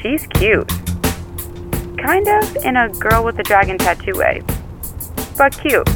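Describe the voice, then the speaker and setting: A woman's voice: a little high, big pitch swings, takes it slowly, over music, exaggerated voice. A woman acting in an audio drama as a chatty, slightly flustered driver tailing a suspect, reporting what happens in a hesitant, conversational way. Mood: wry, observant. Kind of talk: naturalistic audio drama phone dialogue.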